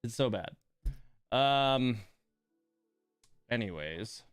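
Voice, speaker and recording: Guy streaming a game, grumbly and self-deprecating, takes his time, smooth clean voice, bit of music behind. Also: mid-range voice, lively intonation, very slow, no echo, studio quality. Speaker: live streamer and host of a gaming channel. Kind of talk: casual livestream chat while playing a gacha game. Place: indoors at home computer.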